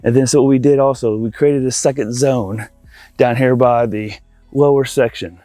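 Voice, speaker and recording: An upbeat man presents a casual walkthrough in the open air, with very little echo on his voice. He talks informally and directly to viewers, like an installer proudly showing his work. His pitch sits in the middle range for a man. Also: fairly even intonation, normal speed, dark tone, faint music behind. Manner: enthusiastic, informal, addresses viewers as guys. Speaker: audio-video installer presenting a project tour. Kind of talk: casual walkthrough vlog to camera. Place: outdoors in a backyard by a pool.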